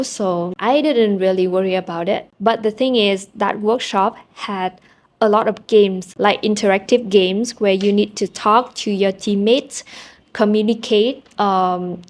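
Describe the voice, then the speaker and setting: A young woman from Vietnam with a Vietnamese accent, speaking English as a second language and telling personal stories to camera in a candid, self-deprecating way. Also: medium pitch. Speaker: vlogger. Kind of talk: casual storytelling to camera. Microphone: small handheld camera mic.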